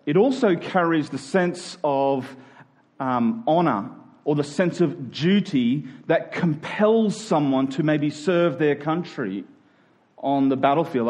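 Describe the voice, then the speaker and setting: A man's voice: medium pitch, darkish tone. Male pastor, Australian accent, mid-range voice; conversational, explanatory preaching with emphasis on key phrases. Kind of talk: conversational expository sermon.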